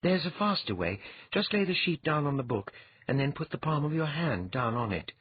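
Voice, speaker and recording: A husky-voiced audiobook reader voicing a patient woman who instructs calmly with a wry edge. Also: mid-range voice, normal speed, no echo, muffled recording, voice pushed up. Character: patient, teasing woman teacher.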